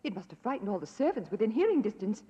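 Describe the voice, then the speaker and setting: A woman's voice: medium pitch, very animated, fast talker, dark tone, close to an everyday voice. An actress playing an anxious, well-bred young lady of the manor, earnest and polite, in dramatic 1940s radio style. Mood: alarmed. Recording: dull, reverberant old radio recording.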